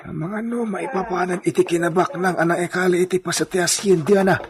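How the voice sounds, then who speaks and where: A man's voice: sits high, steady tone, a little fast, crowd noise, lightly acted. An actor playing an eager, deferential farmhand who speaks hesitantly to his master in a radio drama. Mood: conspiratorial.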